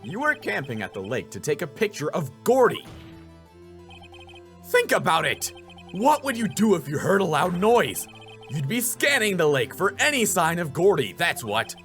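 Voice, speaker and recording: A man playing an earnest, determined young defense attorney in a courtroom game, emphatic and excitable. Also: a little high, normal speed, faint music behind, pitched above the performer's own voice. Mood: accusing. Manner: emphatic, accusing, inner musing.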